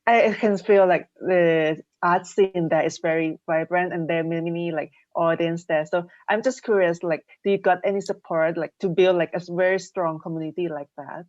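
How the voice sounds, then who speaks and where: A woman's voice: lowish voice, steady tone, normal speed, few pauses, a little mellow, dry recording. A woman co-hosting a podcast, chatting casually as she questions a guest, on a lo-fi recording. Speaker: podcast co-host. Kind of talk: casual interview chat. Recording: dull, lo-fi dry line.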